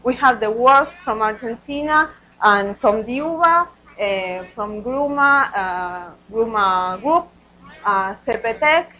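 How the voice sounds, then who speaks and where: A woman's voice: a little high, expressive, slow pace, pushed and bright, a little hiss or hum, low-quality recording. A woman researcher presenting study results at a conference, speaking hesitantly and often repeating words.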